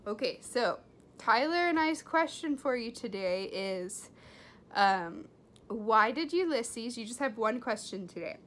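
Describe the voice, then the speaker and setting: A woman reading a story aloud in an expressive, animated and deliberate way. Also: fairly high pitch. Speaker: storybook reader. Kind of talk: expressive read-aloud narration.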